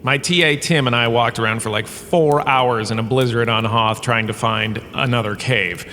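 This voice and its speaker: An actor playing a pompous, washed-up star turned flippant professor, lecturing in a theatrical, breezily dismissive way for a comic audio drama. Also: male voice, medium pitch, normal speed, bright, forceful tone. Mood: exasperated, flippant. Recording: long cave reverb, background ambience noise.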